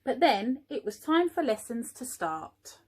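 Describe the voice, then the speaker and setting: A woman's voice: a little high, sing-song, unhurried, background noise. A woman, an early years teaching assistant at home, encouraging young children and asking them questions as she reads a story.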